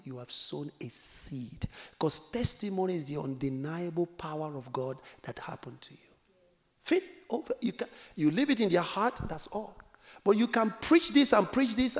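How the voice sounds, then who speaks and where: A man from Africa preaching a sermon, dropping to whispers and rising to loud exclamations, laughing as he tells a story. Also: sits high. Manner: laughs while telling a story.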